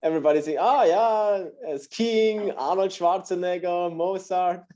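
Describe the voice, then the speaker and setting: A man's voice: sits high, fairly even intonation, unhurried, darkish tone. Austrian man on a dull video call. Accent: Austrian German. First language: German. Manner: hesitant, many uh fillers. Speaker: guest speaker. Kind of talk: casual storytelling on a video call.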